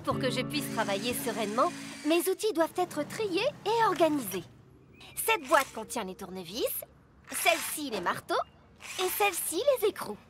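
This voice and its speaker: A friendly mechanic truck in a children's cartoon. Her voice is high and very animated, giving tidy instructions with exclamations over a music bed. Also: moderate pace. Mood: instructive.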